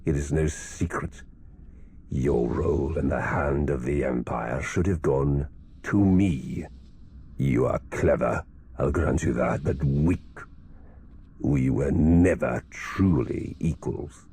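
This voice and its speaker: An actor playing a menacing, arrogant Sith lord in a video game, speaking with slow, domineering contempt. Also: male voice, a bass voice, mellow, breathy edge, heavily acted. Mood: haughty. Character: menacing, arrogant Sith lord who scorns rivals as weak. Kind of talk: cinematic video game acting.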